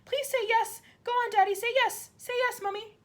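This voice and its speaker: A woman voicing a desperate, bright little girl who cries out urgently in a high, breathless voice. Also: fairly even intonation, moderate pace.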